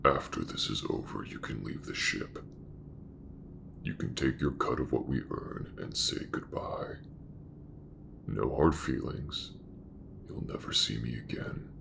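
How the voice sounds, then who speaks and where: Man acting a very deep, gravelly starship captain. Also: noisy background, full character voice. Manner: measured, deliberate, businesslike. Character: commanding ship captain, calm, shrewd, worldly older boss. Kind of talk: sci-fi audio roleplay acting.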